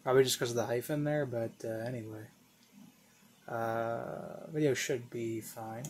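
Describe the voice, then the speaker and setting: A man's voice: mid-range voice, steady tone, normal speed, a little mellow, a little hiss or hum. A man hosting a reaction video, making dry, wry comments at his desk. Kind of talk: casual commentary.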